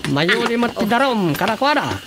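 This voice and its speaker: Commanding village officer, lively delivery. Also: male voice, very high-pitched, normal speed, over a noisy crowd, heavily acted. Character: village officer-in-charge, brisk, self-assured lawman type. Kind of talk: comedic radio drama acting.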